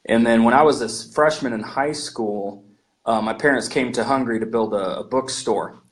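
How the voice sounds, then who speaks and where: A man's voice: mid-range voice, moderate pace. A man with an American accent, a missionary, reflecting earnestly on his past with some hesitations over a video call. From United States.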